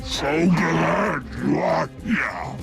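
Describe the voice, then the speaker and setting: Very slow, gravelly gloating game villain. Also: male voice, a little high, lively intonation, music in the background, heavily acted. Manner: slow, drawn-out, mocking menace. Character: gloating male servant of a dark master, theatrical villain. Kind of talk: dramatic video game voice acting.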